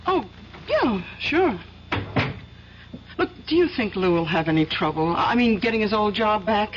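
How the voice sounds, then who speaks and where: An actress playing the anxious wife of a jealous man in a 1970s radio drama, breathless and quick to take offense. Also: mid-range voice, big pitch swings. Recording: dull old radio recording, faint hiss.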